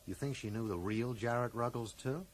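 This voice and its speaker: A man's voice: mid-range voice, normal speed, slight background noise, lightly acted. An actor playing a blunt, suspicious man who probes insistently, in a radio drama. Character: dogged, suspicious male amateur investigator with sense of justice. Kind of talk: 1980s radio drama acting.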